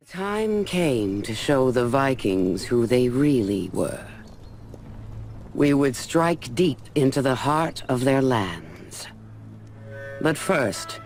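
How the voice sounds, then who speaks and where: A grave war-weary knight telling his campaign, slow and deliberate, video game, faint music. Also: medium pitch, heavily acted. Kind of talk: dramatic scripted game narration.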